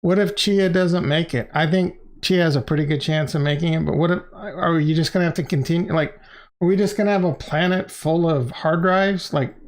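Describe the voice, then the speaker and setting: A middle-aged man hosting a livestream, explaining things in an informal, slangy, conversational way and repeating phrases for emphasis. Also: on the high side. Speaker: crypto channel host and livestreamer. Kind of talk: casual, unscripted livestream explainer.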